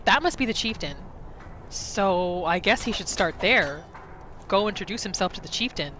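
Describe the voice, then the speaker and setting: A woman's voice: mid-range voice, lively intonation, normal speed, fairly bright tone, a little hiss or hum. A woman doing roleplay gameplay commentary, narrating her character's thoughts and reacting to the game. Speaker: gaming video creator, roleplayer. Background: faint music.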